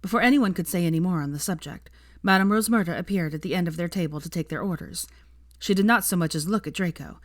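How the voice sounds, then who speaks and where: Casting: woman audiobook narrator, low register, close and dry recording. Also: no room sound. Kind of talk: scripted fiction narration, audiobook reading.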